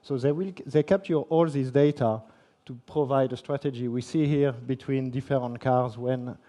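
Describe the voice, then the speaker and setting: A man's voice: medium pitch, fairly even intonation, mellow. French-accented man giving a tech talk. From France. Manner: halting, many fillers and restarts. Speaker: conference speaker. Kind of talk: technical conference presentation. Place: conference hall.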